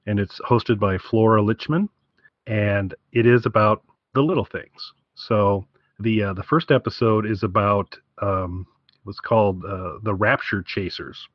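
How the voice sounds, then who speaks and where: A man with a fairly low voice chats as a podcast co-host. His delivery is conversational and wry. The recording is very dry and somewhat dull, lacking crispness. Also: no room sound. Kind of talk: casual podcast chat.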